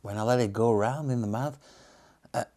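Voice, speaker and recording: A man with a Belgian accent giving casual, unscripted tasting commentary as a reviewer, pausing to muse and search for words. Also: mid-range voice, warm tone. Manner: hesitant, musing, searching for words. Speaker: beer reviewer and host.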